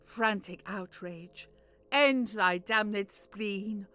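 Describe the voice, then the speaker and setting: A woman's voice: mid-range voice, sharp tone, faint music behind. A woman playing a proud, grieving elderly royal grandmother, speaking theatrical verse in a Shakespearean audio drama. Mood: bitter lament. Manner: slow, theatrical, lamenting verse delivery.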